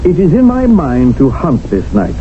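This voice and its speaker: A man's voice: fairly high pitch, wildly swooping pitch, normal speed. A man in his forties playing a wry, clipped, upper-class British colonial official in a 1970s radio drama. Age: 40s. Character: dry, sardonic British colonial official of forty. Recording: muffled old radio broadcast with faint hiss.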